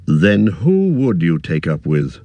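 A man reading aloud, voicing a grim, watchful male ranger who speaks low and slow. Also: wildly swooping pitch, dark tone, no echo, exaggerated voice. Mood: grave.

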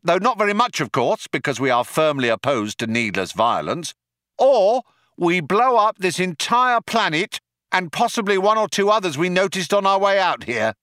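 A man voicing a shouting, indignant cop who is trigger-happy yet proud of his sensitivity, in a storybook reading. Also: on the high side, dry recording, clean studio sound, voice pushed up. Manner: bellowing, self-justifying.

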